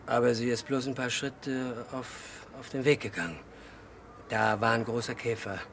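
An actor in a German radio crime play, playing an unhinged, childlike murderer who confesses in halting, disjointed sentences. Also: male voice, mid-range voice, fairly even intonation, a little hiss or hum, lightly acted. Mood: subdued, wistful. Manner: halting, disjointed short sentences, swinging from hushed to agitated outbursts. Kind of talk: mid-century German radio crime drama acting.

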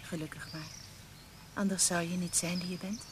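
A woman's voice: lowish voice, steady tone, normal speed, lightly acted. An actress playing a frank, devoted young woman in a radio play, teasing and affectionate. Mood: tender. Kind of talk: Dutch radio play acting. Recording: noisy old radio play recording.

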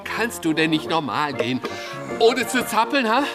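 A man's voice: fairly high pitch, very animated, moderate pace. A man playing a nagging, reluctant monk who objects and questions in a children's radio play. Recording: music underneath.

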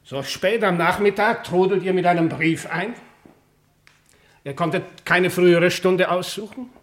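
An actor playing a self-important rich gentleman, pompous, clipped and declamatory, in a 1950s German radio drama. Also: high voice, unhurried. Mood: haughty, impatient.

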